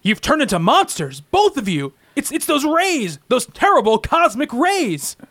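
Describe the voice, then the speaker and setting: A man playing an eager, excitable young superhero with fire powers in an amateur comic-book radio play, gushing breathlessly. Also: very high voice, a little slow, full character voice. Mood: excited, alarmed.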